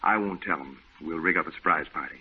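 Hearty buddy, deep, brisk and coaxing, old-time radio drama, phone-like sound. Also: male voice, husky, full character voice. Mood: reassuring. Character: hearty, reassuring male friend, middle-aged. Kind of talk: 1940s radio acting. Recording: tinny old radio broadcast with faint hiss.

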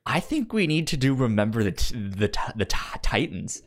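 A man co-hosting a podcast in casual conversation, speaking haltingly and often repeating or tripping over words. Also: medium pitch, very animated. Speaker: podcast co-host. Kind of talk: casual chat.

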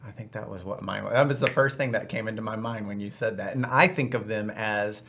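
A man's voice: fairly low pitch, steady tone, brisk, creaky, fairly bright tone, a little hiss or hum. A man hosting a podcast, upbeat and chatty with rambling asides. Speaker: podcast host. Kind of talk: casual podcast chat.

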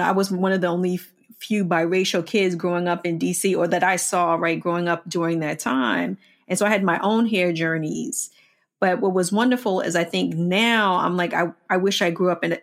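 Middle-aged American woman, slightly low voice. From Washington DC, United States. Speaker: interviewer. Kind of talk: conversational interview.

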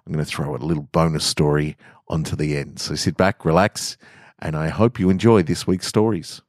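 A man hosting a podcast and reading literary short stories in a relaxed style. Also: a bass voice, moderate pace. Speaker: podcast host, author and reader. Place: garage, indoors.

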